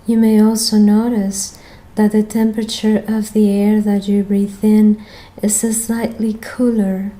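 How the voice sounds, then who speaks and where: A woman leading a guided meditation as the guide, speaking in a gentle, soothing manner with long pauses between phrases. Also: mid-range voice, poor audio.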